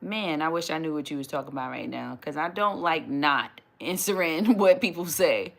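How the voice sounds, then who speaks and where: A woman, hosting a casual live stream from home, chatty and quick to laugh, often restarting her sentences as she answers viewer comments. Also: sits low, expressive, creaky. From New York City, USA. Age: 30s. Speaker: livestream host.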